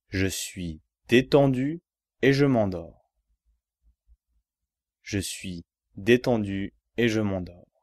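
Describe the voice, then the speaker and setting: A man leads a guided relaxation at a very slow pace. His instructions are measured and repetitive, given step by step. The sound is dry and close, with no room around the voice. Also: on the low side, darkish tone, dry recording. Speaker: yoga nidra relaxation guide.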